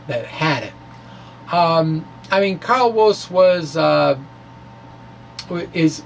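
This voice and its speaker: A man reading his science blog aloud like a lecture, with halting, informal asides and playful emphasis. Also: sits high. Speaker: science blogger presenting his own post. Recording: distant mic with faint background noise.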